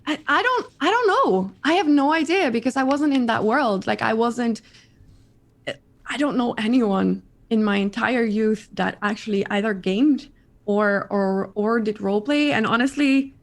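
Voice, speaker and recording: A woman in her thirties from the Netherlands with a Dutch accent, chatting as an interview guest, lively and repeating her words. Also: on the high side, unhurried. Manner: animated, repeats words, laughs often. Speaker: guest streamer. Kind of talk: casual interview chat.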